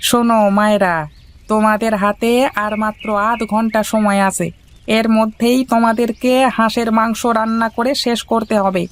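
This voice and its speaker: A man voicing a fatherly village contest overseer in a cartoon, giving orders in a measured, instructive way. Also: high-pitched, level tone, a bit bright. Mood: instructing. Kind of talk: Bengali moral-story cartoon acting.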